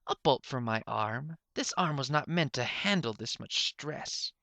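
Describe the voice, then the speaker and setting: A man's voice: medium pitch, lively intonation, measured pace, gravelly, bright, forceful tone, no room sound, voice pushed up, heavily acted. A man voicing an irritated, sardonic unicorn stallion professor in a pony story, curt and mocking in manner. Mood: strained.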